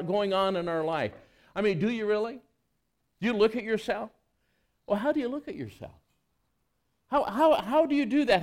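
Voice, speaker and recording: A male pastor giving a conversational sermon, reading scripture and asking the congregation rhetorical questions. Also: high voice.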